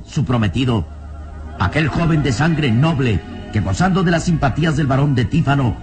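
A man's voice: mid-range voice, darkish tone. Solemn male radio-serial narrator. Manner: solemn, declamatory. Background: faint music.

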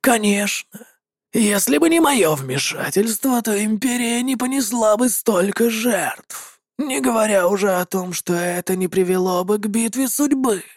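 A woman voicing a sly, scheming male warlock mercenary who talks smoothly and mockingly. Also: medium pitch, lively intonation, measured pace, darkish tone, no room sound, studio quality. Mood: smug.

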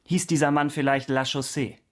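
An actor playing a brisk, probing police investigator with a formal, terse manner in a historical radio crime drama. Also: male voice, on the high side, fairly even intonation, lightly acted.

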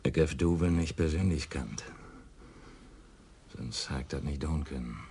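An actor playing a troubled rural police adjutant who speaks in a radio crime drama. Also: male voice, very deep voice, unhurried, creaky, mellow, a little hiss or hum, exaggerated voice.

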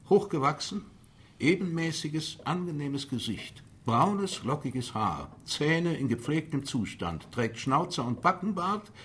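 An actor in a German radio play, playing a self-assured, authoritative English club gentleman who speaks in a confident, declamatory way. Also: medium pitch, a little hiss or hum.

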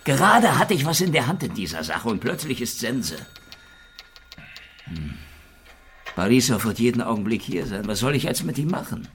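An actor playing a cynical, lovesick, middle-aged Soviet investigator in a noir radio drama, with a gravelly voice and a sardonic, dry manner. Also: male voice, mid-range voice, sing-song, normal speed, long pauses, a bit bright. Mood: indignant, pressing. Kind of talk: noir radio drama acting with inner monologue.

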